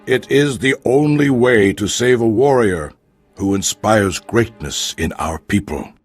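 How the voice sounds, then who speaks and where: A grave alien warrior chief in a sci-fi game. His speech is slow and formal, with a rough husk, proud and reasoning. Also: fairly low pitch, faint music behind. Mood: earnest. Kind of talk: video game voice acting.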